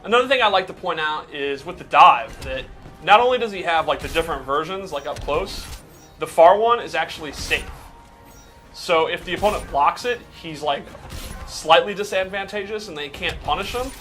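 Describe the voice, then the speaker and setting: A man with a bright, forward voice on the higher side gives casual gameplay commentary. He explains things step by step in a loose, conversational way, with music clearly behind him. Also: animated, moderate pace. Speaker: game commentator breaking down a character.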